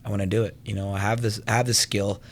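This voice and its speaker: A man's voice: fairly low pitch, little pitch movement, fast. A man in his thirties from Venezuela, a podcast guest telling his own story in a casual, conversational way, often saying "you know". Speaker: podcast guest, fitness business owner and trainer.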